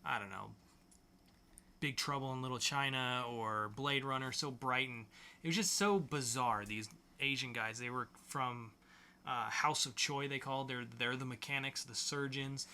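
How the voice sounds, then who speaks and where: A man's voice: mid-range voice, moderate pace, bright, forceful tone. A man co-hosting a podcast, reviewing comic books in an informal, enthusiastic way. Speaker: podcast co-host. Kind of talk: casual comic book review chat.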